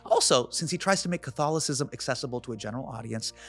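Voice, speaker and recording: A man in his forties hosts with comic timing, tossing off jokes and playful questions. His voice sits at a mid pitch, and a little music plays faintly underneath. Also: lively intonation, vocal fry, fairly bright tone. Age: 40s. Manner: quick, playful, punchline-driven delivery. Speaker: host. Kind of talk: comedic monologue and interview.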